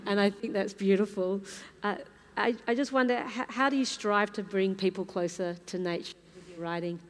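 A woman's voice: mid-range voice, fairly even intonation, normal speed. A woman moderating a live panel, speaking unscripted in an earnest, conversational way. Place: large indoor hall at a live museum event. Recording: hall reverb, noisy live event.

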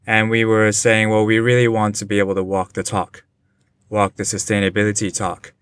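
Forties male guest from Japan, low-ish smooth voice, thoughtful, filler-heavy remote interview. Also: monotone, normal speed, fairly bright tone, no echo. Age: 40s. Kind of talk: casual interview conversation. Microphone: remote online call.

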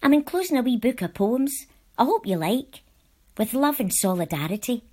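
A woman reading personal letters aloud from a script in a measured, earnest way. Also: a little high, very animated, dark tone, breathy edge. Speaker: volunteer reader.

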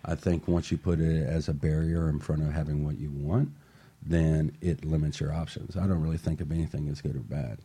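Deep-voiced man hosting a radio talk show. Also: fairly quick, breathy edge. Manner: upbeat motivational, relaxed with fillers. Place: radio studio.

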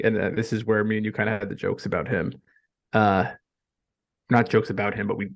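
A young co-host talks in a flat monotone and halts often on fillers. His low voice has a gravelly fry to it. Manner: monotone, halting speech with many fillers. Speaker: podcast co-host. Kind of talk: casual sports chat.

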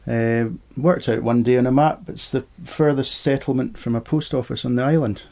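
Middle-aged man, guest in a casual chat, gravelly and mellow, rambles easily. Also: medium pitch, steady tone, normal speed. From Scotland. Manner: easygoing, rambling storyteller. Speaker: interview guest. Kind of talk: casual conversation.

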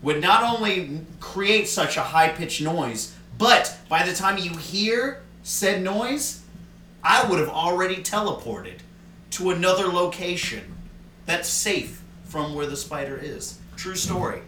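A man chatting on a podcast, joking and cursing as he rambles. His voice is bright and a bit raised in pitch. It sounds roomy and distant from the mic, with a faint hiss behind it. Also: animated, measured pace. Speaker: podcast co-host. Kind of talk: casual chat.

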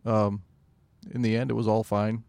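A man's voice: on the low side, flat delivery, moderate pace, vocal fry, darkish tone, no room sound. A man chatting casually as a podcast co-host, understated and laid-back with dry humor. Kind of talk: casual chat.

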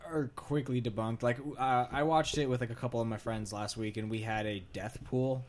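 A man's voice: mid-range voice, steady tone, brisk. A man co-hosting a podcast in casual, joking banter. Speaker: podcast co-host.

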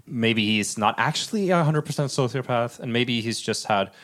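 A man's voice: mid-range voice, animated, moderate pace, fairly bright tone. A man talking informally and conversationally as a podcast co-host in a casual film discussion.

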